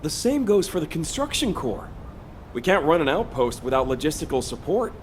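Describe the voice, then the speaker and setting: High-voiced, enthusiastic young male sidekick. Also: moderate pace, slight background noise. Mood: serious. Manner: excitable, sincere. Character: friendly, enthusiastic young male outpost builder, loyal sidekick. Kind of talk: video game cutscene dialogue. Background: faint background music.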